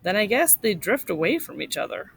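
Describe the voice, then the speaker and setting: Woman voicing reserved father, storybook dialogue, brief, explanatory, animated intonation. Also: mid-range voice, a little fast, a little hiss or hum. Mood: explaining. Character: reserved adult father, matter-of-fact with his son.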